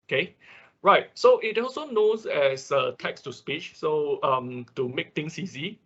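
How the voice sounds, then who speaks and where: A man who is a non-native English speaker gives an informal explanatory lecture in an online class, in a halting, repetitive way with frequent check words. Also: on the high side, animated, a bit bright. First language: not English. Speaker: instructor of an online robotics class. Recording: dull, lo-fi online class audio.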